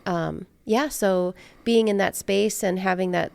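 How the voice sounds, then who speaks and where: A woman with a medium-pitched voice hosts a conversational interview. She speaks casually and sincerely, musing aloud as she goes. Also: a little slow, vocal fry, a little mellow, clean tone. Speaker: podcast host.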